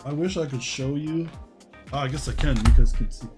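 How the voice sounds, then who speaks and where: A man's voice: a little high, brisk. A middle-aged man chats in a laid-back, rambling, slangy way, like a shop owner hosting an unboxing video. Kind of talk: casual rambling chat while opening card packs. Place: indoor room at a card-opening table. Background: music, crinkling card packs.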